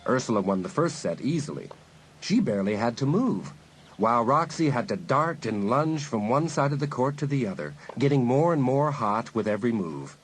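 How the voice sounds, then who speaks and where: A man's voice: mid-range voice, very animated, normal speed, a little hiss or hum. A man reading scripted story narration as the narrator of an audiobook.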